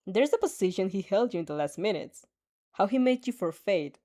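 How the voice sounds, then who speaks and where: A woman's voice: mid-range voice, expressive, fairly quick, dark tone, close to an everyday voice. A woman reading the lines of a bold, scheming teenage girl in a fan audio story, in a sly, teasing way.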